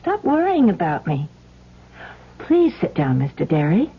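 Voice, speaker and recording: A gracious, plucky American housewife in a vintage radio play. Her voice is husky and fairly low, moving expressively through her polite lines. The old broadcast sounds somewhat muffled. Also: huge pitch swings, measured pace, full character voice. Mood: gracious, eager. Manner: gracious, brightly brave, polite. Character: gracious, plucky housewife in a wheelchair, eager for company. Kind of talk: 1970s radio drama acting.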